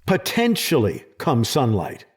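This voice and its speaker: A man's voice: mid-range voice, wildly swooping pitch, unhurried, breathy edge, full character voice. An actor playing a scornful, sarcastic senior official in an audio drama, dismissive of what he hears. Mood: skeptical. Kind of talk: dramatic audio fiction acting.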